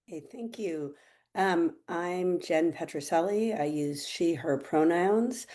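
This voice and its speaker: A middle-aged woman from California presenting on a video call in a measured way, with frequent ums. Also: on the low side, warm tone. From California, USA. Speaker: panelist, archival producer.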